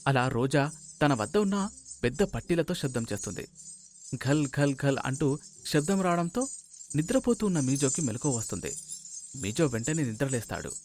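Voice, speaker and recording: A man's voice: medium pitch, animated, normal speed, long pauses, a little mellow, faint music behind. A man narrating a scripted moral story as its storyteller.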